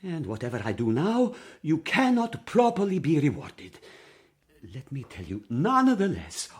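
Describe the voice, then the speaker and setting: Excitable high-voiced Italian professor. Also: male voice, moderate pace. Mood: effusive, grateful. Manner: effusive, flowery, theatrical. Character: effusive, excitable Italian professor, grateful and theatrical. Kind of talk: period audio drama acting.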